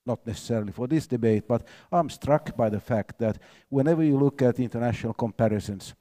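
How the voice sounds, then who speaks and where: Older Nordic man, mellow and hesitant. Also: medium pitch, brisk. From Nordic countries. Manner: hesitant, with many uh fillers. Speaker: panelist. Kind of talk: panel discussion. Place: stage in a large event hall.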